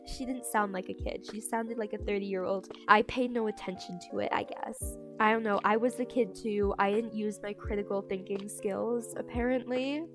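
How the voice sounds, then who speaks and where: Chatty young woman over background music. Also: on the high side. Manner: chatty and candid, frequent likes and restarts, incredulous emphasis. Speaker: storytime creator. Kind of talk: casual storytime vlog.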